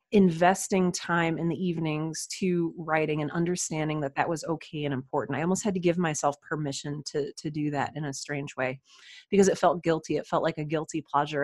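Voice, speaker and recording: A woman's voice: low voice, dry recording, studio quality. A woman in her thirties casually telling her own story as a podcast guest, reflective and self-deprecating. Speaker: interview guest, writer and podcaster.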